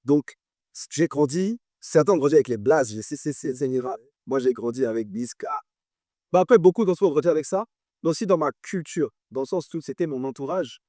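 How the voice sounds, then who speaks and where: A young man with a French accent talks in a relaxed, mellow tone, his voice set a little higher than most men's. He leans on repeated phrases to drive his points home. The sound is close and dry. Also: brisk, dry recording. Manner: animated, repeats phrases for emphasis. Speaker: guest artist and producer. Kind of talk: casual interview chat.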